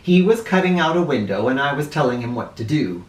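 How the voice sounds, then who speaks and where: A man tells a children's story in a roomy, echoing space, some distance from the microphone. His delivery swings widely in pitch, theatrical and animated, leaning on key words with drawn-out emphasis. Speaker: children's read-aloud storyteller. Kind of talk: expressive storybook read-aloud. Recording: roomy echo, distant mic.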